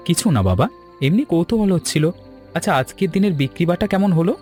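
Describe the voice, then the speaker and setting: A man playing an earnest, secretive young son with fond memories and plans, in an animated story. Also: high-pitched, fast, soft background music. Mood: evasive, curious.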